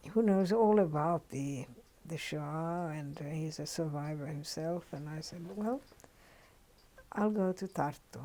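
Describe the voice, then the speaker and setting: An older woman from Finland with a Finnish accent, telling her story in an oral history interview, unhurried and reflective with frequent pauses. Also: lowish voice, vocal fry, warm tone.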